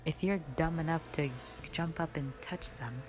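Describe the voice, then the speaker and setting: A woman playing a grave, wary elder witch sister in a dramatic audio roleplay, speaking solemnly with some hesitation and hushed warnings. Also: low-pitched, normal speed, faint music behind, natural voice. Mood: cautioning.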